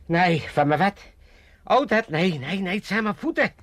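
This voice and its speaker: A man's voice: high voice, animated, moderate pace, a bit bright. An actor playing a wily, self-pitying old father in a radio comedy, boastful and wheedling, with an Amsterdam accent. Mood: whining, moaning. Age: older. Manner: theatrical grumbling and coaxing. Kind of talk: 1980s comedy radio drama acting.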